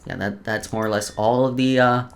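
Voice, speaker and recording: A man's voice: fairly low pitch, fairly even intonation, brisk, gravelly. A man hosting a let's play, reading game lore aloud with casual asides and often stumbling over words before restarting.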